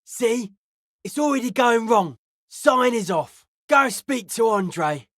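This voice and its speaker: A woman voicing a suspicious, aloof young hardcore raver in a video game, speaking in deadpan, clipped, cryptic pronouncements. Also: a little high, slow pace, no room sound, studio quality, full character voice. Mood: deadpan, wary. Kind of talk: video game character dialogue.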